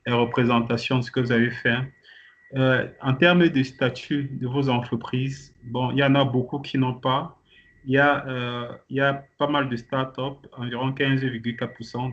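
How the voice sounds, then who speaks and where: A man's voice: medium pitch, fairly bright tone. A man leading an online training kickoff meeting, presenting to participants with many hesitations and restarts. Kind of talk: presenting to participants on a video call. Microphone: video call. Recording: muffled call audio with faint noise.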